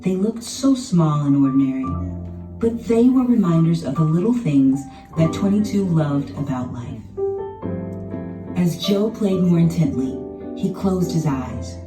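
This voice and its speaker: A woman narrating a children's storybook in an expressive, dramatic scripted style. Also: sits low, measured pace. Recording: roomy, distant sound with music behind.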